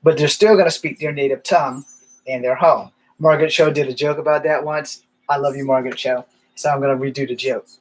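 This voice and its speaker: A man's voice: mid-range voice, fairly even intonation, a little fast, low-quality recording. A man rambling through candid stories in a casual monologue, swearing now and then. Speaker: vlogger.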